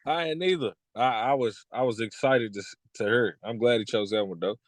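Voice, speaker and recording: A man in his thirties from Kentucky, a music artist talking casually in an interview, relaxed and repeating his phrases. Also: medium pitch, fairly quick, dry recording. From Lexington, Kentucky. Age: 30s. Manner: laid-back, repeats "you know what I'm saying".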